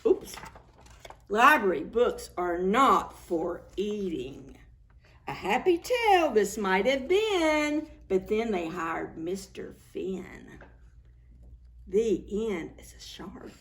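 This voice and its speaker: A woman reading a picture book aloud to preschoolers as a story time host, expressive and full of exclamations. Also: medium pitch, slow. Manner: expressive, exclaiming, talks to young children. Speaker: children's librarian hosting story time.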